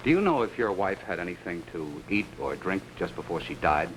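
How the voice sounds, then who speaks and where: A man acting as a courteous, questioning detective in a 1950s television mystery, with small hesitant pauses. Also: sits low, big pitch swings, fairly bright tone. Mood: grave, courteous. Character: Ellery Queen, probing, courteous male detective. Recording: noisy old 1950s television soundtrack.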